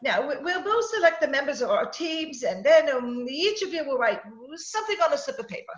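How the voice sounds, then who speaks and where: A woman's voice: high-pitched, very animated, brisk, a faint crowd. A woman playing a fussy, dithering society hostess in 1940s radio style, stammering as she goes. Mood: fussy excitement. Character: fluttery, fussy society hostess running party games. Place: home, recorded over video call. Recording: echoey muffled home video-call recording.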